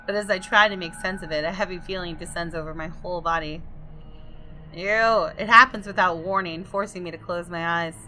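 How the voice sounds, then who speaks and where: A woman's voice: on the low side, fairly even intonation, a little fast, sharp tone, soft background music, low-quality recording. A woman reading visual novel narration aloud as a gaming video creator, in a dramatic storytelling way with casual asides.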